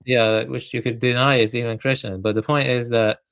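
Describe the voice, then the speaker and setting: A man's voice: medium pitch, level tone, fairly quick, vocal fry, a bit bright, smooth, lo-fi sound. A man in a casual stream discussion who talks hesitantly, thinks aloud and leans on filler words.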